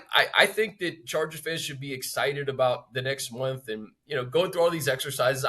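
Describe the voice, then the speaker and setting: A man hosting a casual sports podcast, talking informally in halting, conversational phrases. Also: mid-range voice, fairly bright tone.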